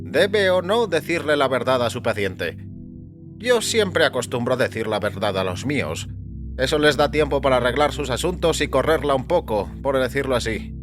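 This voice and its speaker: Audio fiction doctor, put on by a male narrator: calm, clinical, formal, pitched up, over music. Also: normal speed, lightly acted. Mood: clinical, matter-of-fact. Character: calm, self-assured male doctor, clinically detached with patients.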